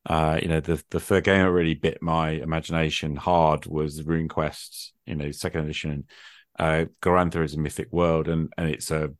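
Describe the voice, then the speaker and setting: A man's voice: rumbling low, little pitch movement, normal speed, dry recording, clean studio sound. A middle-aged man in a casual, extended conversation, thinking aloud in a reflective, hesitant way. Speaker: podcast host. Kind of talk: extended casual conversation about role-playing games.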